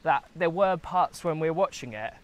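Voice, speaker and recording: A man's voice: on the high side, expressive, normal speed, lo-fi sound. A British man in his twenties chatting casually and musingly as he walks outdoors, co-hosting a film discussion. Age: 20s. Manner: rambling, musing. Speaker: film review co-host. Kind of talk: casual chat while walking. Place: outdoors, walking through countryside and woods. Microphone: handheld camera at arm's length. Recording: outdoor camera audio with background noise.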